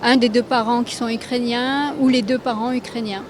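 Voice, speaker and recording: A woman volunteer at a charity stand, answering in a measured, hesitant way. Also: fairly high pitch, steady tone. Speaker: association volunteer. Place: outdoor fair stand. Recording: clear background noise.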